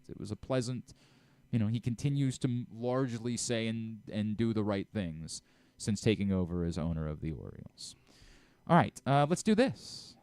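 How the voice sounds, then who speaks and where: Male sports radio host, clean close sound, clear voice, conversational delivery. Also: mid-range voice, warm tone, no echo. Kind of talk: casual sports talk.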